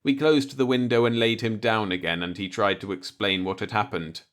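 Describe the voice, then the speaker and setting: A man's voice: on the low side, steady tone, normal speed. A man reading a light-hearted short story aloud as an audiobook narrator, in a scripted storytelling style.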